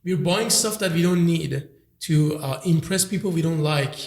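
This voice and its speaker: A man's voice: a little high, moderate pace. A nineteen-year-old man hosting a casual podcast chat, rambling with lots of fillers and restarts. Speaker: podcast host. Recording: echoey room.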